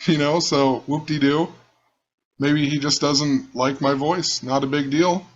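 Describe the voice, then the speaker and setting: Rough-voiced man, lo-fi recording. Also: on the high side, steady tone, unhurried. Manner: musing, rambling, repeats himself. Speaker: channel host. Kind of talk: casual screen-share commentary.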